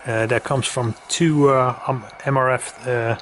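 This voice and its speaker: A man giving a casual hands-on equipment demonstration as a reviewer, speaking haltingly with hesitant pauses. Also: medium pitch, fairly even intonation. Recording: loud radio hiss and static. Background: radio noise.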